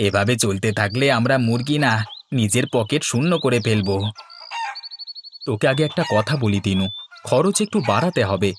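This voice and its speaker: A man's voice: mid-range voice, lively intonation, moderate pace, noisy background, lightly acted. A man playing an earnest village chicken farmer in scripted cartoon dialogue. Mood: worried.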